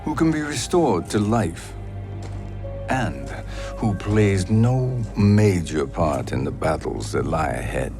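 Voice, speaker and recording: An actor playing a scheming, self-assured sorcerer in a video game cutscene, measured and persuasive. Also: male voice, deep voice, big pitch swings, over music, full character voice. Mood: calculating. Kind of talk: cinematic video game acting.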